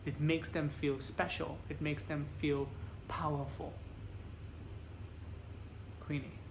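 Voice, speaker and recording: Guy lecturing slow and clear, explaining stuff, bit of background hum. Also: fairly high pitch, little pitch movement. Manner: plain, measured explaining with examples. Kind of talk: bilingual lecture with interpreter.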